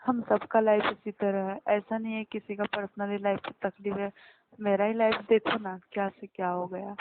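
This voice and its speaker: Young adult female, plain-spoken and earnest, monotone delivery, thin call audio with heavy noise and clicks. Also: mid-range voice, normal speed. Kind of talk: casual phone conversation. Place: phone call. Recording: very noisy phone line with clicks.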